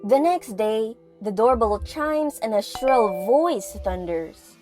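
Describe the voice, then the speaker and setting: A woman's voice: on the high side, lively intonation, takes it slowly, a little mellow. A woman reading scripted narration for an audio drama as its storyteller. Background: music.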